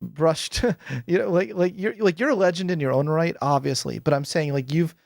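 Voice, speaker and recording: A man's voice: on the high side, moderate pace, creaky, dry recording, clean studio sound. A man in his forties, a guest psychologist, talking animatedly and enthusiastically with lots of fillers and laughter. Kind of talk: casual interview chat. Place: home room with bookshelves. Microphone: headphones with boom microphone.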